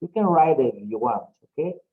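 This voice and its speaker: A man teaching an English class, explaining slowly and often checking in with "okay?". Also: medium pitch, expressive. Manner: slow, drawn-out, frequent "okay?" checks. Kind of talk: online class instruction. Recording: dull lo-fi audio.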